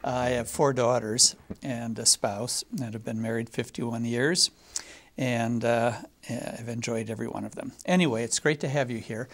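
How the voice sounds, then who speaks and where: Older male host, halting delivery, lots of uh pauses, panel discussion. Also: medium pitch, steady tone. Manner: hesitant, many filler pauses. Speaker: talk show host.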